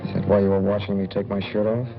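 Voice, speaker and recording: Actor as a guarded, low-voiced film noir drifter. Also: male voice, level tone, quick pace, music in the background, natural voice. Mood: cold, wary. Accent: American. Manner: terse, guarded. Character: guarded, menacing drifter hiding a secret, adult man. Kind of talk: 1940s film noir acting. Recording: muffled old 1940s film soundtrack.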